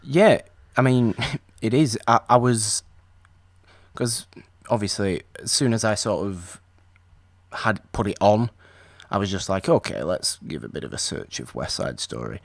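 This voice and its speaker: A man's voice: fairly low pitch, lively intonation, measured pace, vocal fry, dry recording. A man co-hosting a podcast, chatting casually about an old film and rambling with restarts. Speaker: podcast co-host.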